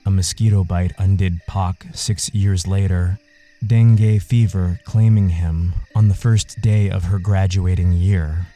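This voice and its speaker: A man narrating a literary audio story in a measured, solemn way. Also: low voice, flat delivery, warm tone, faint music behind.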